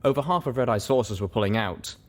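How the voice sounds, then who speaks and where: A man reading first-person story narration as an audiobook, in an expressive, dramatic way. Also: medium pitch, fairly quick. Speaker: audiobook reader.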